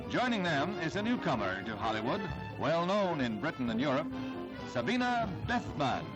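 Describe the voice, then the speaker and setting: Man, 1960s newsreel narration, higher-pitched voice, formal declamatory announcer delivery, music behind. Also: rough recording. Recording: vintage newsreel soundtrack with music.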